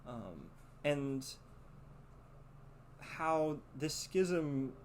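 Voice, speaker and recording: A man's voice: on the high side, animated. Reflective man podcasting, noticeable background noise. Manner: halting, deliberate, reflective. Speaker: podcast host. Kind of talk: podcast monologue with read-out letter and commentary.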